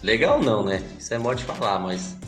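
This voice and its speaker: Man, Goiás accent, lowish voice, rambling casual chat, echoey room, faint music behind. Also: sing-song, a little fast, fairly bright tone. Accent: Goiás Brazilian Portuguese. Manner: rambling, laid-back, trailing off. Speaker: podcast co-host.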